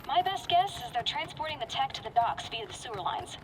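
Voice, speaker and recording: A woman's voice: high-pitched, expressive, a little fast. Distant, echoing young female ally. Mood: concerned. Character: earnest young female ally reporting intel. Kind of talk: video game voice acting.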